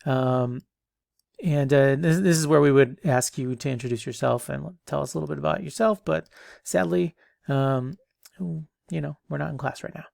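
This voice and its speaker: A man's voice: fairly high pitch, moderate pace, vocal fry, a little mellow, no room sound, studio quality. A man in his thirties giving a casual online lecture as an instructor, rambling with many ums and restarts, a bit stuffy from allergies.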